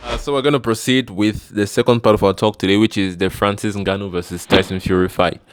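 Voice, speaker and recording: A man's voice: on the low side, fairly even intonation, brisk, a little hiss or hum. A man with a Cameroonian accent, hosting a casual video talk and putting questions to his guest. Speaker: host, interviewer.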